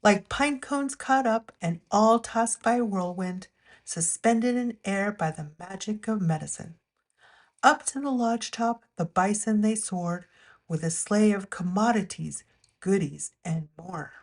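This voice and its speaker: A woman's voice: mid-range voice, unhurried. Woman reading a rhyming children's story aloud. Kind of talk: read-aloud rhyming picture-book narration.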